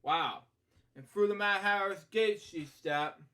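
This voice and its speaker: A man with a distinctly high-pitched voice reads a picture book aloud as a bedtime storyteller. His delivery is halting and rambling, slipping from the story into casual, off-the-cuff commentary and back again. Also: bright, forceful tone. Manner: rambling, halting, frequently digressing with asides.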